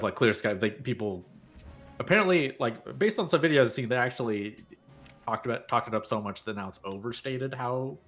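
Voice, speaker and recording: A man co-commentating a game stream in casual chat, animated and quick to laugh. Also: mid-range voice. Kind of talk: casual let's-play commentary.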